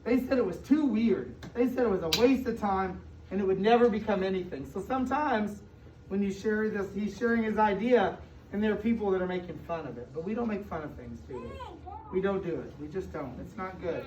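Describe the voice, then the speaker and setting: An American woman reads a picture book aloud to young children, pausing to explain and ask questions, with emphatic stretched words. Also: medium pitch, darkish tone. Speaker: school superintendent reading aloud to children. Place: indoor room with children present. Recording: distant, echoey, muffled room recording with noise.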